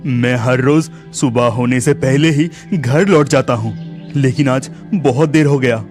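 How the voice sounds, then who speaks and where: An actor playing a brave but anxious young village lad, thinking aloud dramatically in a cartoon horror story. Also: male voice, mid-range voice, unhurried, a little mellow, soft background music, natural voice. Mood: worried.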